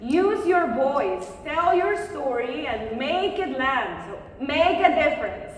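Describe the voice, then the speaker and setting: Echoey, high-voiced expressive woman speaker on stage. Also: slow. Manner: expressive, encouraging, direct. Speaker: public speaking coach giving a conference talk. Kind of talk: live talk with audience questions, coaching advice. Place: conference session room before a live audience. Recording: very echoey hall, far microphone, faint noise.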